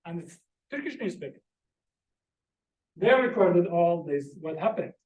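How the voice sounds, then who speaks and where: Guy lecturing from slides, high voice, earnest, stops to explain each word, echoey room. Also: expressive, measured pace, darkish tone, lo-fi sound. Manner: earnest, explains terms one by one. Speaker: visiting lecturer.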